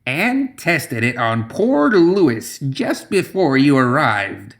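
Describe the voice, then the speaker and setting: Slow swamp witch, voiced by a man, scheming, portentous, drawn-out words, raised pitch, fantasy storybook. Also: very animated, gravelly, full character voice. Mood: ominous, deliberate. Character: Zoraida, scheming deliberate swamp witch woman. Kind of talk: audiobook fantasy character voice.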